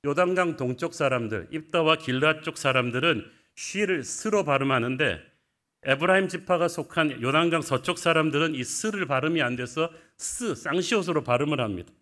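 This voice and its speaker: A middle-aged man preaching, retelling scripture as a vivid story. His voice sits a little high for a man, and his delivery is lively and narrative. He comes from the Gyeongsang region. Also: fairly quick. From Gyeongsang, South Korea. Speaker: pastor. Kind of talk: sermon.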